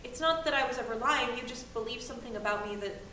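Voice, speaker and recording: A young woman playing a guarded, anxious grown daughter who talks in halting, hesitant bursts with her mother. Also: fairly high pitch, bright, forceful tone. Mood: quiet. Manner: hesitant, halting, then heated. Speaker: college student. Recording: echoey room, distant mic, noisy ambience.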